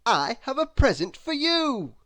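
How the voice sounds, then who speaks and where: A woman's voice: high-pitched, very animated, measured pace, a bit bright, pitched above the performer's own voice, full character voice. A woman voicing a kindly, cheerful storybook man who speaks in a hearty, emphatic, hopeful way. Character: kindly, cheerful man, eager to help his friend.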